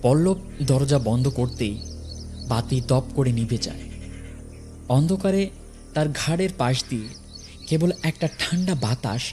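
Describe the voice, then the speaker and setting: A man tells a scripted horror tale as its narrator. His voice sits at a middle pitch and the delivery is dramatic. Faint music plays behind him. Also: a little slow, long pauses.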